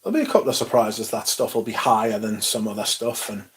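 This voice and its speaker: A young man hosting a casual livestream, reading chat comments aloud and chatting in a loose, relaxed way with casual swearing. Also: fairly low pitch, quick pace. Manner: laid-back, swears casually, mumbles asides, reads chat aloud. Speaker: livestream host.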